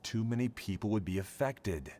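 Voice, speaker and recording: Overworked young male student for a game, acted by a man: lowish voice, expressive, resigned, faint noise. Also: moderate pace, lightly acted. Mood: weary, insistent. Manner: placating, weary. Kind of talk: video game voice acting.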